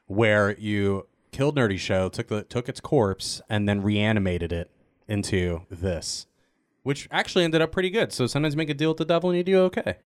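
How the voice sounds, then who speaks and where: A man's voice: fairly low pitch, normal speed, no echo, clean studio sound. A man who works as a producer, chatting informally and jokingly as part of a podcast panel. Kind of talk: casual banter.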